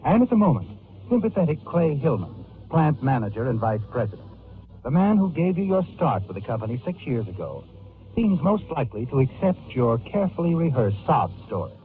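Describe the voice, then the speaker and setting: A man's voice: mid-range voice, sing-song, fairly quick, natural voice. Man acting an ominous, swooping old-radio narrator. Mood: knowing, dramatic. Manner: dramatic, sinister, knowing storyteller. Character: ominous, all-knowing male narrator of crime tales. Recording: tinny old radio recording, music underneath.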